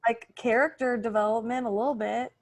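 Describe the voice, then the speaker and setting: A woman's voice: fairly high pitch, steady tone, a little fast. A woman hosting a comedy podcast, chatting casually with the other performers after an improvised scene. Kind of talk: casual post-show banter.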